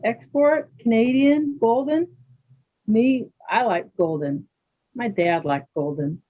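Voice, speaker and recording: A woman playing a calm, dignified older yoga teacher in readers theater, chatting and gently probing with questions. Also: fairly high pitch, takes it slowly. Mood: chatty, probing. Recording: thin phone-like band-limited sound.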